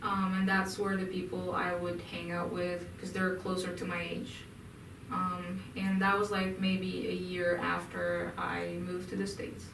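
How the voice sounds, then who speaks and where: Hesitant young woman from Egypt, low and flat, in an echoey room. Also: measured pace, vocal fry, slight background noise. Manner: hesitant, many ums and restarts. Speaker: interview guest. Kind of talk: casual interview answers. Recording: echoey room, mic at a distance.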